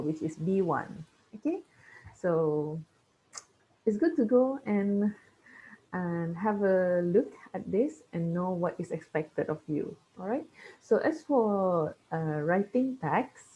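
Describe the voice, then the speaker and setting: A woman's voice: lowish voice, mellow, low-quality recording. A woman from Malaysia, whose first language is Kayan, giving a university lecture and often checking in with "okay?". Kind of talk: classroom lecture.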